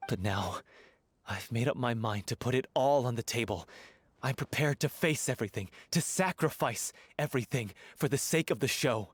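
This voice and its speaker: An actor playing a devoted young male stage performer, earnest and prone to furious outbursts, with a slightly husky voice. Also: mid-range voice, moderate pace, no room sound, lightly acted. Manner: earnest, then shouting accusations. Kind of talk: game voice acting.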